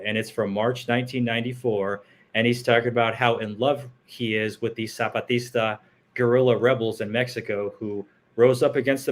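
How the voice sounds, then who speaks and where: A man co-hosting a casual online chat show over an internet call, blunt, opinionated and joking. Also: medium pitch. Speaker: co-host. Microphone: home setup over internet call.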